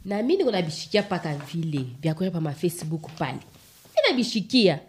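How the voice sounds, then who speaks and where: An actress playing a sceptical, questioning community radio director in a radio play, with a Congolese accent. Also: female voice, lowish voice, sing-song, fast talker, breathy edge. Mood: defensive. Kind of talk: radio play dialogue in colloquial register.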